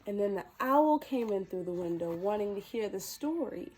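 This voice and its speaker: A woman hosting a children's storytime, reading and talking to young viewers in an expressive, sweet manner. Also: mid-range voice, moderate pace, rough recording. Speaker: children's storytime host dressed as a princess character. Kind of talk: animated children's picture-book reading and direct address to young viewers.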